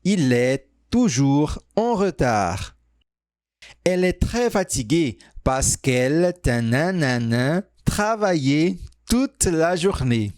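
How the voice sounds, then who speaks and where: A man teaching French, reading quiz sentences and answer options aloud slowly and carefully. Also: fairly high pitch, very animated, darkish tone, no echo, clean studio sound. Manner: reads sentences slowly, stretched out, pauses on options. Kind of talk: slow, clearly spoken quiz reading.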